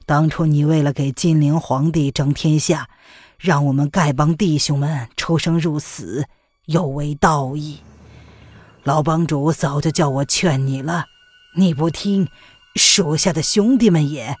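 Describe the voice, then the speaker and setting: An audiobook performer voicing a weary old male elder of a beggar sect, sighing and counseling gently with a husky voice. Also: on the high side, fairly even intonation, a little slow, no room sound, clean studio sound. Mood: resigned.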